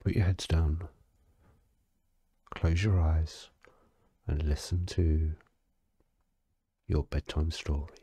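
A tipsy man reading a bedtime story in a deadpan, sweary way, stumbling now and then. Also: rumbling low, husky, dry recording. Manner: slow, sweary, deadpan, stumbling over words. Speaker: story reader. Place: alone in a quiet, dry-sounding space.

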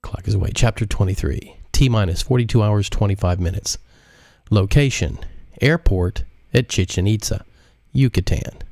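A man reading his own novel aloud in a relaxed live audiobook stream, with chatty asides. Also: on the low side, normal speed, no room sound, clean studio sound.

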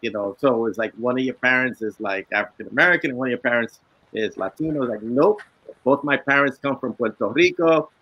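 A man's voice: mid-range voice, brisk, breathy edge, no echo, highs cut off. A man, an author and illustrator, telling a reflective story on a video call in a casual way. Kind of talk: panel discussion answer. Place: home room with bookshelves.

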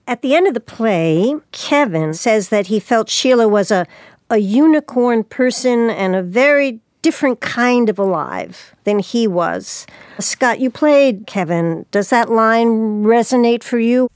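A woman's voice: fairly high pitch, animated, unhurried, a little mellow, smooth, no echo. A woman hosting a radio show, speaking as an interviewer and presenter. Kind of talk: interview hosting and scripted credits.

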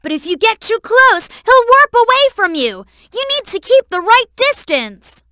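A woman voicing an earnest, excitable young boy fox sidekick in a video game, with a childlike voice. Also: extremely high pitch, normal speed, very noisy. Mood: earnest, helpful. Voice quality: childlike, boyish. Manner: earnest, eager. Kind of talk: video game voice acting, hints and warnings.

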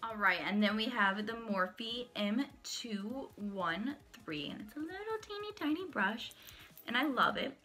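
Young woman beauty vlogger, bright voice, chatty and excited, kinda sick with a cracky voice, filming at home. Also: a little high, unhurried, soft background music. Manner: chatty, enthusiastic, shows each brush to camera. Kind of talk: casual product walkthrough. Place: small room at home, indoors.

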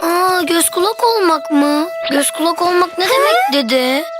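A woman's voice: very high-pitched, expressive, normal speed. A woman voicing a curious, eager little child in a children's cartoon, inquisitive in manner. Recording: music behind the voice.

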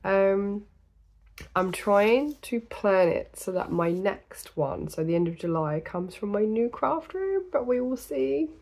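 A woman in her thirties from the UK, hosting a crafting podcast in a small indoor room. She chats to the camera in a rambling way, full of ums and little laughs, and her voice is going, slightly gravelly. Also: medium pitch, expressive, takes it slowly, a little mellow. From United Kingdom. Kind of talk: casual chat to camera.

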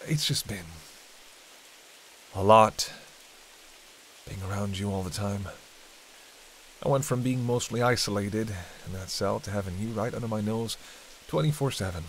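A low male voice of an actor as a sardonic, hungry vampire in an intimate audio roleplay. He is cranky and teasing, trading dry banter one-to-one, with rain and hiss behind him. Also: measured pace, pauses often, low-quality recording. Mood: wry, confiding.

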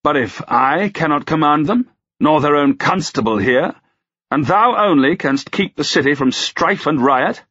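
A man's voice: fairly high pitch, expressive, a little slow, a bit bright, slightly rough, no echo, muffled recording, pitched above the performer's own voice, heavily acted. A man voicing an imperious, suspicious medieval duke with archaic, commanding, sardonic speech in an audiobook. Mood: serious, pressing. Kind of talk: dramatised audiobook dialogue.

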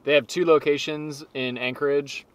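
A man's voice: on the high side, steady tone, moderate pace, a bit bright. A man in his thirties giving casual food reviews as a travel vlogger.

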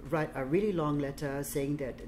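Low-voiced woman with a Samoan accent. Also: normal speed, a little mellow, slight background noise. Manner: chatty, many fillers. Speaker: guest artist. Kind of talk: talk and Q&A. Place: gallery room, indoors.

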